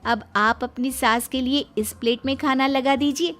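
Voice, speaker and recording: A woman's voice: sits high, fairly even intonation, normal speed, fairly bright tone, pitched above the performer's own voice. A woman playing an innocent, obedient young daughter-in-law in a moral story, speaking respectfully and earnestly.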